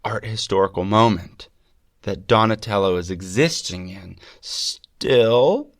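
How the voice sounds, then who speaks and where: A man with a fairly low voice hosts a lecture-style podcast, a little gravelly and stuffed up from a cold. He lectures with eager emphasis. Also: takes it slowly. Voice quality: slightly gravelly. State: congested with a cold. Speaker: podcast host. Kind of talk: scripted lecture on art history.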